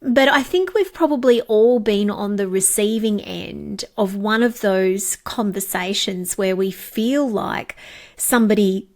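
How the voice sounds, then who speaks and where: Slow-talking woman with a head cold. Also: mid-range voice. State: has a cold, a bit raspy and blocked up. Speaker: podcast host and coaching trainer. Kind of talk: solo podcast talk.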